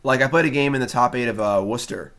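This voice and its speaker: A man's voice: medium pitch, quick pace, a bit bright. A young man streaming a card game and chatting casually, laid-back and wry, with lots of fillers. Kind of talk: casual live-stream commentary.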